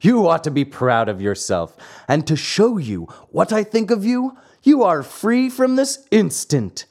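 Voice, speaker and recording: Reader as a hearty, smug farmer, lively delivery. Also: mid-range voice, a little slow, pitched above the performer's own voice. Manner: hearty, boastful. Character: jovial self-satisfied adult male farmer.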